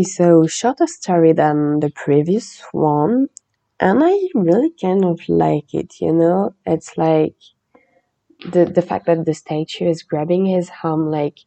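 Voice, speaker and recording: A woman hosting a podcast, chatting in a relaxed, meandering way and reading a legend aloud. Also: low-pitched, vocal fry. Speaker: podcast host.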